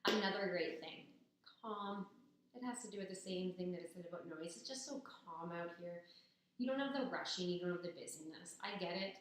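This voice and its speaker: A young woman from Ontario, hoarse and raspy after a cold, giving a chatty, upbeat persuasive talk to the camera. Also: fairly low pitch, a little fast, a little hiss or hum. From Ontario, Canada. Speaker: business student. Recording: very echoey, roomy sound.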